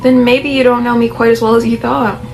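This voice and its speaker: A hoarse woman voicing a guarded young wife who answers coolly, over background music. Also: on the high side, flat delivery, brisk, close to an everyday voice.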